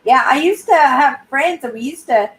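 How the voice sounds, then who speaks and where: A woman in her sixties from Canada, chatting casually on her live stream in a clear, high-pitched voice and often ending sentences with "right?". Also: lively intonation, moderate pace, a bit bright. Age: 60s. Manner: rambling, tags sentences with "right?". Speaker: YouTube channel host.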